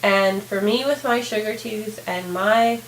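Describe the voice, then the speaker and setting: A woman with a hoarse, raspy voice giving a candid, rambling video diary update, full of fillers. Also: medium pitch, unhurried, reverberant, a little hiss or hum, rough recording. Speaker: vlogger.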